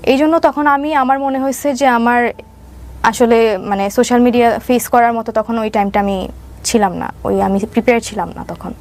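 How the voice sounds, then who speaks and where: A young woman with a Bangladeshi accent and a fairly high voice. She recounts her own life as an interview guest, hesitating and circling back on filler phrases. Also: moderate pace, a little mellow. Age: young adult. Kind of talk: personal storytelling in an interview.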